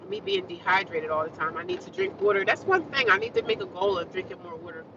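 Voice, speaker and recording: A woman's voice: on the low side, lively intonation, fast talker, distant mic, highs cut off, noisy background. A woman chatting casually to her viewers from inside a car, talking with a congested nose. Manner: chatty, talks straight to viewers. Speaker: vlogger. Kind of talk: casual rambling chat to viewers.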